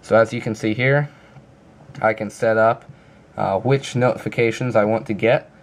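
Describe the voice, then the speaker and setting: A man's voice: lowish voice, fairly even intonation, moderate pace. A man giving a casual, informal review walkthrough in a plain-spoken explaining manner. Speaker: app reviewer.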